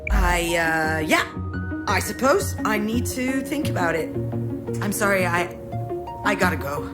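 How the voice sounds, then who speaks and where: A woman voicing a flustered, defensive adult daughter in a naturalistic visual novel game, speaking in a halting, sardonic way. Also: mid-range voice, animated, loud music behind the voice, natural voice. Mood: overwhelmed.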